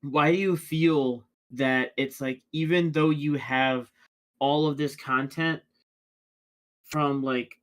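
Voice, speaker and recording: A man's voice: a little high, fairly even intonation, slow. Rambling man reacting on a stream. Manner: rambling, many restarts and "like" fillers. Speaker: watchalong co-host. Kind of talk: casual reaction chat.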